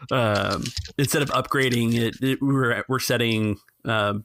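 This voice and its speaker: A man's voice: mid-range voice, a little slow, a bit bright, slight background noise. A man acting as game master, casually explaining rules and asking players questions.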